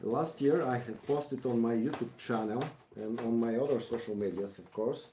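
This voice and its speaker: A man from Bulgaria with a Bulgarian accent, giving a casual live craft demonstration, haltingly thinking aloud as he works. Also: mid-range voice, dark tone, reverberant, a little hiss or hum, rough recording. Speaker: craftsman hosting a live stream.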